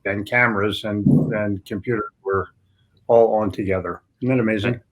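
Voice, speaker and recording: A man's voice: fairly low pitch, fairly even intonation, normal speed, low-quality recording. An American man chatting casually as a guest, with a blunt, joking, teasing manner. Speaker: interview guest. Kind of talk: casual chat.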